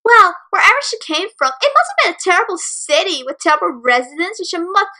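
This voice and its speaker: A woman voicing a spiteful young pony bully with a shrill, taunting delivery in a storybook reading. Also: animated, brisk, pushed and bright, full character voice. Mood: mocking.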